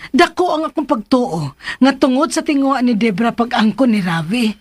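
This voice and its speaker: An actress playing a caring, worried mother in a radio drama, gentle but insistent as she cautions. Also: a little high, lively intonation, normal speed, no echo. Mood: worried, cautioning.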